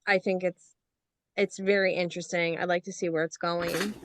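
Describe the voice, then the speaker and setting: A woman's voice: on the low side, little pitch movement, moderate pace, no room sound. A woman on a reading panel giving casual feedback, tentative and peppering her sentences with filler words. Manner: tentative, frequent use of "like".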